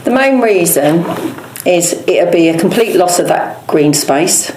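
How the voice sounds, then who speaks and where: Local councillor: low-pitched English woman, plain-spoken campaigner, roomy interview sound. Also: animated, measured pace, background noise. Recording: echoey room.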